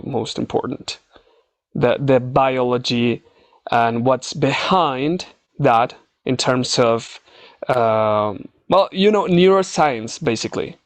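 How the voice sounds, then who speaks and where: A man's voice: medium pitch, no room sound. A man from Mexico with a Mexican accent, a native Spanish speaker, answering interview questions as a scientist, hesitantly searching for words. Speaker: scientist, English learner being interviewed.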